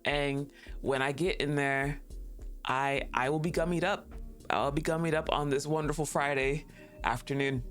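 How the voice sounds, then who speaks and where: A laid-back streamer chatting casually on a livestream, a little tired, rambling and laughing often. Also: medium pitch.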